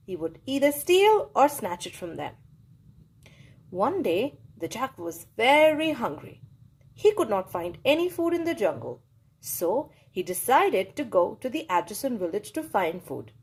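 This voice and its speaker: A woman reading a children's story aloud for her channel, in a cheerful, expressive way. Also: on the high side, slightly rough. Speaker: storybook reader, channel host.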